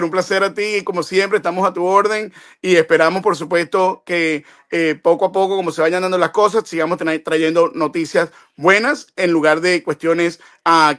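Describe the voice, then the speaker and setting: Impassioned man, high voice. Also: fairly bright tone. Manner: passionate, emphatic, rising to outbursts. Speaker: immigration lawyer guest. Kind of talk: impassioned interview answers.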